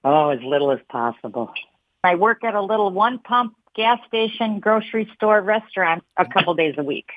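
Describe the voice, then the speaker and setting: A woman's voice: medium pitch, animated, fairly quick, dry recording. A woman calling a radio show, plain-spoken and wry. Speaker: caller. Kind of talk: phone call to a radio show. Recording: muffled phone line.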